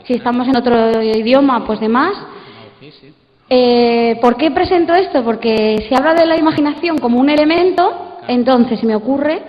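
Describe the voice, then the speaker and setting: Spanish woman asking something from the audience, high voice, thinking out loud, echoey. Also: normal speed. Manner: tentative, tag questions while thinking aloud. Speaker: audience member asking a question. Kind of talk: spontaneous question from the floor. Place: large conference hall. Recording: muffled, echoey hall sound.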